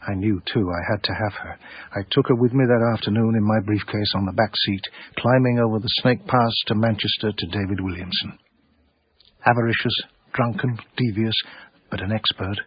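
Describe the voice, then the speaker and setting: Husky, wry English narrator. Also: male voice, lowish voice, steady tone, no echo. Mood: enraptured. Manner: measured, literary, sardonic. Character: wry, cultured, obsessive small-time art dealer narrator. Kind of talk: first-person radio drama narration. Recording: dry, slightly muffled radio broadcast.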